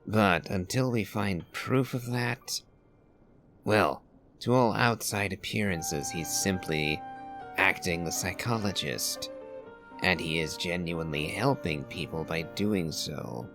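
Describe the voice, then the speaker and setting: A man giving reflective video game commentary in a deliberate, analytical, musing manner. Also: deep voice. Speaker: game streamer. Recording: game music behind the voice. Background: video game music.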